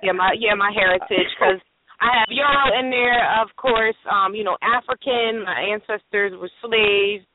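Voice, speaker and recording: A woman's voice: medium pitch, normal speed. A woman from Pennsylvania, a singer and educator, speaking upbeat on a call-in radio panel. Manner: upbeat, laughing, hesitant fillers. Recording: dry narrow phone line.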